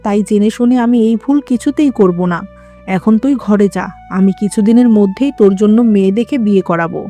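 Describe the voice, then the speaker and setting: A woman's voice: mid-range voice, fairly quick, mellow, soft background music, lightly acted. A woman voicing a scolding, traditional middle-aged mother-in-law in a cartoon drama, lamenting and dramatic. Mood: firm, disapproving.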